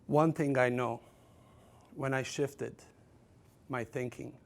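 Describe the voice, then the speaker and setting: A man's voice: medium pitch, fairly even intonation, takes it slowly, gravelly. Serbian-accented man giving a motivational talk. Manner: storytelling with self-deprecating humor. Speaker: motivational speaker. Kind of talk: motivational keynote speech.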